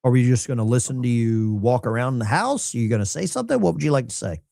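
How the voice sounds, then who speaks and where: Joking talk-show man, lively pitch. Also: gravelly, dry recording, studio quality. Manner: joking, offhand, quick quips. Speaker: call-in show host. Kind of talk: casual call-in talk.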